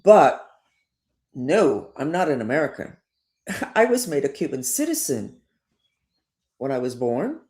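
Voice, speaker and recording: An older woman delivering a rambling, emphatic monologue, her pitch swinging widely up and down. She circles back on her points and presses hard on certain words, in the manner of a spiritual teacher talking straight to her viewers. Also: unhurried, pauses often. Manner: digressive, emphatic, lively up-and-down delivery.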